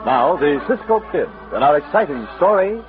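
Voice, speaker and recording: A man's voice: fairly high pitch, very animated, moderate pace, loud music behind the voice. A man announcing and narrating an old radio western in a dramatic, scripted style. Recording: tinny, narrow old radio recording.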